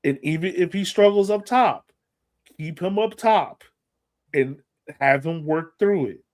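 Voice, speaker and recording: A man's voice: high voice, measured pace, a little mellow. A man hosting a sports podcast, giving casual fan commentary with playful, acted-out banter.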